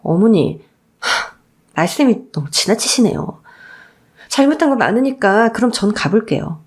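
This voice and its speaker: A woman's voice: fairly high pitch, expressive, unhurried. A woman voicing a long-suffering daughter-in-law who protests indignantly in a radio story drama. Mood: hurt, indignant.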